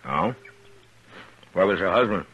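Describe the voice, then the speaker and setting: An actor playing a calm, tough, fair-minded American frontier marshal in 1950s western radio drama, speaking in a measured, plain way with thoughtful pauses. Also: male voice, sits low, slightly rough, lo-fi sound, exaggerated voice. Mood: probing, calm. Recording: noisy vintage 1950s radio recording.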